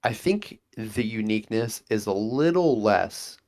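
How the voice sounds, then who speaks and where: Low-voiced enthusiastic male interview guest. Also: lively intonation, a little slow, a little mellow. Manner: enthusiastic, rambling, acts out quotes. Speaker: guest, monk class player. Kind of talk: casual interview chat.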